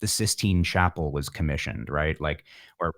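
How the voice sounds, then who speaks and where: Low-voiced man chatting as a podcast guest. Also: normal speed, creaky, fairly bright tone. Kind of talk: casual interview chat.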